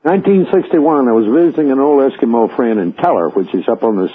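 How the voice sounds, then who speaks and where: Male caller, mature, husky, emphatic storyteller with clipped phrases, on a narrow telephone line. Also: mid-range voice, expressive, quick pace. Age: middle-aged or older. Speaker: radio show caller. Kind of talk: vivid personal storytelling. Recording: tinny, narrow phone line.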